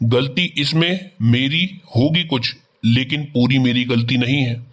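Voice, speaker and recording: Man voicing cartoon schoolteacher, principled, defensive yet understanding, medium pitch. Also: a little slow, a bit bright. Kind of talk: scripted cartoon dialogue.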